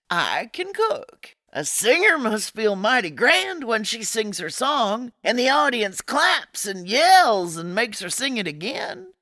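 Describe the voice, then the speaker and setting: A woman's voice: medium pitch, sharp tone, dry recording, studio quality, full character voice. A woman playing a kindly old Gold Rush cook with folksy, rustic frontier speech in a radio-style audio drama.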